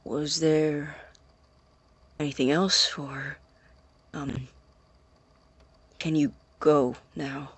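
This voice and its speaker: An actor performs a nervous man with something to hide in an audio drama. His voice is husky and slow, breaking into defensive stammers. He sounds secretive and easily flustered. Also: mid-range voice, little pitch movement, dry recording, heavily acted. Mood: subdued, tense. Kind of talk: naturalistic audio drama acting.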